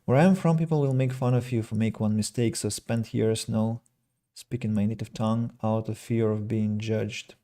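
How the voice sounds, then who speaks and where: A man, his voice gravelly with vocal fry, talking alone on his podcast. He reflects on listeners' comments as an English teacher, with plenty of fillers and false starts. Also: fairly low pitch, fairly even intonation, moderate pace, dark tone. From Russia. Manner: reflective, reads listener comments aloud, frequent fillers and restarts. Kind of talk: solo podcast talk.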